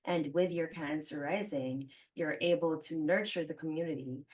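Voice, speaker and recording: Low-voiced woman host, slightly distant mic. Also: fairly even intonation, normal speed. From Philippines. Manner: chatty, warm reflections full of "like" fillers. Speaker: podcast host. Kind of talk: casual conversational interview.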